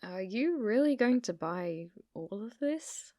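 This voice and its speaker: A young British woman playing an obsessive, delusional shop cashier stalker in an intimate ASMR roleplay, halting and flustered, slipping into menacing whispers. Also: mid-range voice, animated, warm tone, dry recording, clean studio sound. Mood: polite, probing.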